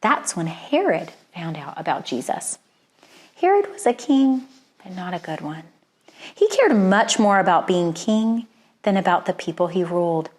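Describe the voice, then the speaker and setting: A woman reading a children's storybook aloud as a storyteller, with expressive, deliberate phrasing and dramatic pauses. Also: on the low side.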